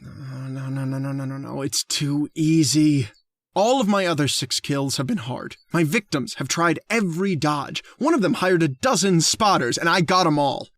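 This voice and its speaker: A man's voice: on the high side, normal speed, no echo, natural voice. An actor playing an uneasy, hesitant man who hunts his victim, in old-time radio drama style. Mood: troubled, conflicted.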